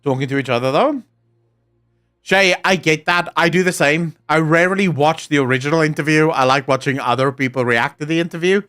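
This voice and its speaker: Cursing South African streamer, slightly high voice. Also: male voice, fairly quick, bright, forceful tone, faint music behind. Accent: South African, Afrikaans. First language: Afrikaans. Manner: profane, ranting and joking. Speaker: live streamer. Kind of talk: casual live-stream chat, answering chat.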